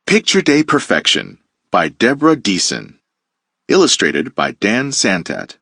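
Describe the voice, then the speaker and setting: A man teacher reading a children's picture book aloud with playful, expressive storytelling. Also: on the low side, measured pace, gravelly, no room sound, studio quality.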